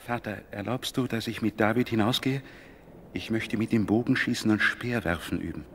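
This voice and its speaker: An actor playing an eager, friendly young prince in a German radio play. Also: male voice, lowish voice, moderate pace, slight background noise, natural voice.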